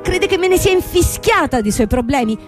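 An actress playing a young, proud, ruined company boss in a theatrical radio drama, speaking formally and emphatically with rhetorical questions. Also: female voice, high voice, lively intonation, a little fast. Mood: anguished, angry. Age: young adult. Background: music.

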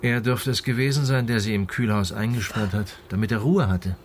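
A man playing a calm, methodical police inspector in a radio crime drama, speaking in a measured, matter-of-fact way. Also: lowish voice, slight background noise, natural voice. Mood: sober, factual. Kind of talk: naturalistic German radio drama dialogue.

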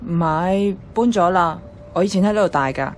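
A woman's voice: lowish voice, moderate pace, slight background noise, natural voice. A woman playing a feisty, blunt young office worker who loves comics, in a Hong Kong Cantonese radio drama. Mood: keen, casual. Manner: teasing, blunt.

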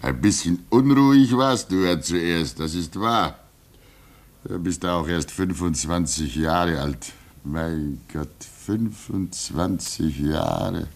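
A fatherly older man at a remote station in a vintage radio play. His voice is deep and he takes his time, musing wistfully and soothing whoever he talks to. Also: creaky, heavily acted. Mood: musing, fond. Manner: soothing, chuckling, drawn-out musing. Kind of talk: 1950s German radio drama acting.

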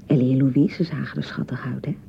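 An actress from a radio play playing an anxious, touchy young newlywed bride in a hesitant, rambling way, with a Netherlands Dutch accent. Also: female voice, steady tone, a little fast, vocal fry, full character voice. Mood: pleased. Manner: hesitant, rambling, self-correcting. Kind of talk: naturalistic radio play dialogue. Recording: muffled radio broadcast with rumbling noise.

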